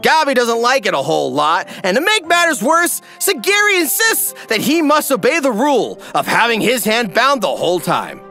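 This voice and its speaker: A man narrates a comedic recap with wry, theatrical emphasis. His high, forceful voice swoops dramatically across a very wide range, with music behind it. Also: sing-song, moderate pace, few pauses.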